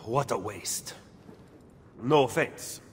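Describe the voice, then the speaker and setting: An actor playing a blunt, skeptical Renaissance political advisor in a video game, with a dry, questioning manner. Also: male voice, medium pitch, expressive, slow pace, slight background noise. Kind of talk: dramatic video game cutscene acting. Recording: long reverb, faint music.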